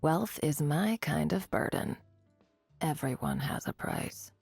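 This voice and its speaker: A woman voicing a cocky, greedy mercenary desert warrior in a video game, husky and gravelly, with dry, sardonic delivery. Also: low-pitched, expressive, slow, darkish tone, dry recording, faint music behind, heavily acted. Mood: cool, sardonic. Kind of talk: video game character voice lines.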